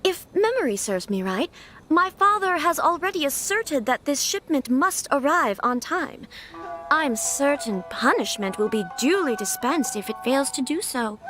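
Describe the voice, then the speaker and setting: High-voiced, refined young noblewoman. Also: very animated, a little slow, a bit bright, soft background music, heavily acted. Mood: stern, commanding. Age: young adult. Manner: formal, polite, composed. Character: refined, resolute young noblewoman, commissioner's daughter. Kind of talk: video game voice acting.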